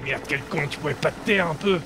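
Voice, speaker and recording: A man's voice: fairly high pitch, fairly quick, background noise, close to an everyday voice. A man voicing a bumbling, cowardly male pirate captain who sounds flustered and sly and hesitates with fillers. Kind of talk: amateur parody audio drama.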